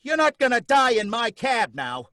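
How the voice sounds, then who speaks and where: An actor playing a jittery working-class cab driver in an old-time radio drama, pleading and excitable with folksy slang. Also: male voice, very high-pitched, normal speed, no echo, clean studio sound, full character voice.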